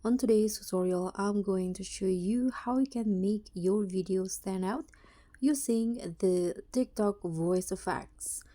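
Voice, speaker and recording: A woman hosts a casual how-to walkthrough in a soft-edged, mellow voice. She moves slowly through each step, with a halting, slightly hesitant delivery. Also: mid-range voice. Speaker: tutorial host. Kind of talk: casual step-by-step app tutorial narration.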